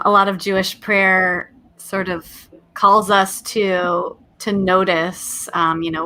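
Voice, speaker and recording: A woman, a cantor on a discussion panel, talking about prayer in a calm, reflective, gentle way. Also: fairly low pitch.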